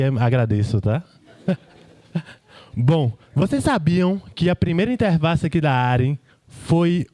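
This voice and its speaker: A man's voice: a little high, a little mellow. A Brazilian man giving an informal, jokey tech meetup presentation in colloquial speech full of tag questions. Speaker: engineering team speaker.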